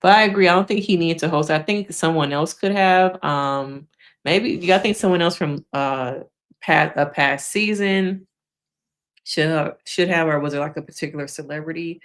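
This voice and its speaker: A woman's voice: deep voice, normal speed. A woman hosting a casual live stream, chatting frankly with viewers, laughing often, and losing her voice.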